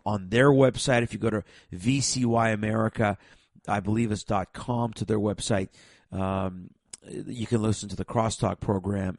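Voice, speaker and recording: A man hosts his own program with a raspy voice worn by a cold. His tone is gravelly and fry-laden, the sound close and dry. He talks with heavy stress and keeps stumbling into restarts. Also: on the low side, dry recording. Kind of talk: emphatic monologue to viewers.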